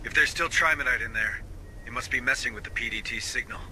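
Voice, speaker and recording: A man's voice: medium pitch, fast talker, pushed and bright, a little hiss or hum, low-quality recording. A man playing a no-nonsense space marine sergeant in a video game, commanding and clipped. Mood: steady, practical. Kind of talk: scripted video game dialogue. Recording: faint background ambience.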